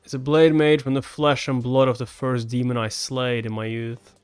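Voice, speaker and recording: A man reads as a solemn old warrior at the end of his life, honorable and formal. The mid-pitched voice speaks in measured phrases over background music. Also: little pitch movement, darkish tone, clear, resonant tone, dry recording, close to an everyday voice. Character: dying veteran swordmaster, honorable enemy warrior, solemn. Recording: music behind the voice.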